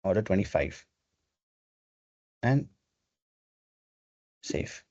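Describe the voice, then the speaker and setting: A man presenting a webinar, explaining software step by step in an instructional way over a video call. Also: low voice, lively intonation, drawn-out words, dry recording. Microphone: video call microphone. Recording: dull, lo-fi video call audio.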